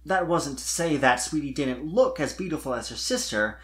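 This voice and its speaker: A narrator reading fan fiction aloud as expressive, scripted storytelling. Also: medium pitch, normal speed.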